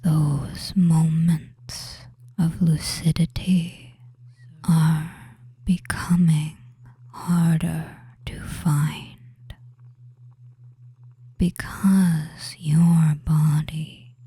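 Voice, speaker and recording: A man voicing a soothing, dominant male witch in a hypnosis roleplay, murmuring lulling commands in a breathy whisper. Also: on the high side, mellow, no room sound, heavily acted. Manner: very slow, lulling, murmured commands with long pauses.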